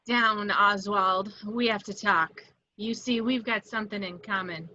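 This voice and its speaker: A woman's voice: medium pitch, steady tone, a little slow, sharp tone, dull audio. A woman playing a sly, vengeful villainess with a seductive, dry manner, in an amateur film script read. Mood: coolly seductive. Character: sultry, vengeful, sly female cat-themed villainess.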